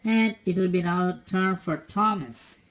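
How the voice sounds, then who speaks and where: A woman with a somewhat low voice calls out each toy train run in a casual, upbeat way. She talks easily, as if playing along at a table at home. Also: a little slow. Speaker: toy demonstrator. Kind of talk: casual toy play commentary.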